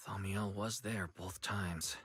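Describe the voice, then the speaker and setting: A man playing an earnest, protective brother in an anime-style game, speaking clearly. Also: lowish voice, fairly even intonation, slow, a bit bright. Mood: curious, thoughtful. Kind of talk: game dialogue voice acting. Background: game music faintly behind.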